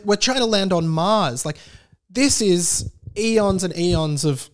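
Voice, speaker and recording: A man hosting a casual comedic solo podcast, rambling with stammered restarts and animated, incredulous emphasis. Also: on the high side. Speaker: podcast host.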